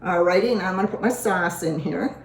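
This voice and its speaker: A woman hosting a home cooking show, a little hoarse, chatting in a homey way as she works through a recipe. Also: lowish voice, fairly quick. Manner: chatty, homey, talks while working. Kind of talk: casual cooking demonstration. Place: home kitchen. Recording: echoey kitchen with clattering dishes. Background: dishes and utensils clinking.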